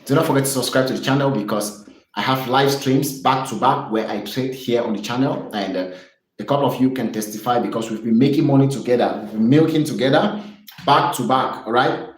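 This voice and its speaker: Chatty Cameroonian trader in an echoey room. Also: male voice, medium pitch, fairly quick. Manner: upbeat, repetitive, chatty with viewers. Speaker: trading streamer. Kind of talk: live trading stream, chart lesson.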